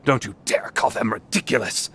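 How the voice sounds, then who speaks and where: A man playing a grandiose, theatrical young mad scientist in an anime visual novel fan dub, declaiming pompously and quick to take offence. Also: mid-range voice, fairly bright tone, husky. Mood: indignant, pompous. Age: young adult. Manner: pompous, declamatory, easily indignant.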